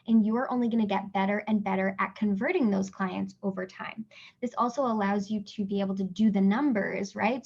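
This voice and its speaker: A woman from Canada leads a live online class, talking in a chatty, encouraging way with frequent check-ins. Her voice sits at a middle pitch and is a little hoarse. The call audio is dull and lo-fi. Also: a little mellow. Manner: chatty and encouraging, many fillers and "right?" checks. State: losing her voice. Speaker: webinar host and business coach. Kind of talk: live webinar teaching.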